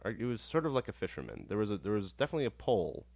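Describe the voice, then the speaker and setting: Male host, a bit low, phlegmy from a cold, meandering easygoing chat. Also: very animated, very fast, darkish tone, no echo. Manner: rambling, hesitant restarts. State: phlegmy, getting over a cold. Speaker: podcast host. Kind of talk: casual chat.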